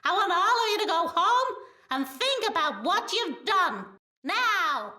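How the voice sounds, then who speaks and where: A woman's voice: very high voice, big pitch swings, unhurried, sharp tone, slight background noise, heavily acted. A woman playing an indignant, moralising creature made to entertain, speaking emphatically in an audio play. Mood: scolding. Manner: emphatic, scolding. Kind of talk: fantastical audio play acting. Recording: roomy, distant microphone.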